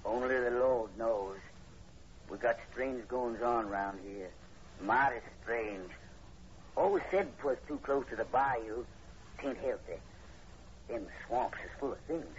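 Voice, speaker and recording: A man's voice: mid-range voice, slow pace, pauses often, close to an everyday voice. An actor playing a timid, superstitious farm hired man with a rural Southern American accent in a 1940s radio horror play. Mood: ominous, uneasy. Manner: folksy, rustic, hesitant. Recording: muffled, noisy 1940s radio recording.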